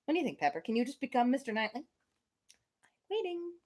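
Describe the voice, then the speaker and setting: A woman reading a classic novel aloud on a livestream, expressive, slipping between literary narration and casual, playful asides. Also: high voice, fast talker.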